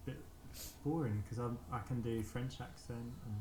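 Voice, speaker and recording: A man's voice: medium pitch, fairly even intonation, normal speed, warm tone, echoey room, a little hiss or hum, close to an everyday voice, poor audio. A man with a London English accent playing a cocky, boastful British flirt in a video game, teasing a woman and giving dating advice. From England. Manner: teasing, boastful, coaching asides.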